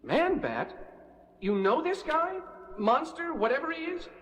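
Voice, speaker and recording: An actor playing an earnest, excitable young superhero sidekick in a 1970s audio drama. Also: male voice, extremely high pitch, unhurried, full character voice. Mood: puzzled.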